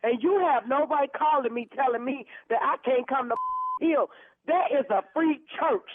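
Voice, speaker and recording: A woman's voice: sits high, normal speed, no room sound. A woman speaking firmly and emphatically in an indignant phone conversation, heard over a narrow telephone line. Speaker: prank call target, church member.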